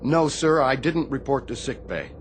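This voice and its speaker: An actor playing a troubled, deferential chief bosun's mate in a radio drama, speaking in a hesitant, guarded, formal way. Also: male voice, mid-range voice, very animated, moderate pace, natural voice. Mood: uneasy, deferential. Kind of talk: radio theatre acting. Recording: clear background noise, shipboard ambience.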